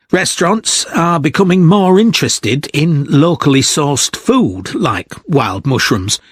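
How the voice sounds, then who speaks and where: A man acts as an encouraging guide delivering an instructional talk. His voice sits a little high, moves expressively and takes its time over each piece of advice. The recording is close and dry. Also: slightly rough, no room sound, full character voice. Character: practical, encouraging male guide on mushroom foraging. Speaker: speaker giving an informational talk.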